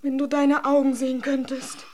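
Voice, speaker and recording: A woman's voice: high-pitched, monotone, normal speed, dark tone, natural voice. An actress playing a guilt-ridden young married woman in a radio drama, theatrical and full of self-reproach. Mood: anguished, self-loathing. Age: young adult. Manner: theatrical, overwrought.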